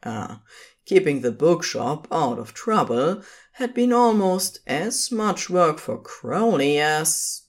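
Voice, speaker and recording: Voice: mid-range voice, very animated, slow pace. A narrator reading scripted fiction for an audio drama.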